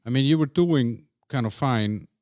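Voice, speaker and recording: A man's voice: mid-range voice, moderate pace, darkish tone, dry recording, clean studio sound. A man hosting a casual interview, asking loosely phrased, tentative questions. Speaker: podcast host.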